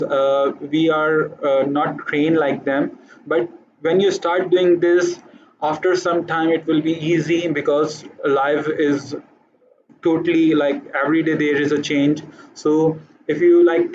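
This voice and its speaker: A man's voice: on the high side, little pitch movement, a little slow, darkish tone, lo-fi sound, a little hiss or hum. A man with an Urdu accent, a native Urdu speaker, giving a hesitant motivational talk to camera, full of fillers and restarts. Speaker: vlogger.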